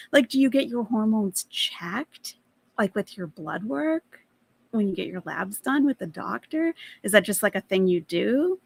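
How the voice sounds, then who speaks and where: A woman's voice: medium pitch, creaky, fairly bright tone. A woman in her forties chatting casually as she reacts to a video, often turning to her viewers with questions and laughing. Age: 40s. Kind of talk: casual commentary reacting to a clip.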